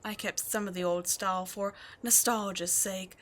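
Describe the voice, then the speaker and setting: A woman's voice: mid-range voice, fairly even intonation, moderate pace, fairly bright tone, natural voice. A woman playing a taunting demon queen. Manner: sly, taunting, drifting into whispers. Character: scheming female demon queen, mocking and cold. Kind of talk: ASMR roleplay audio drama.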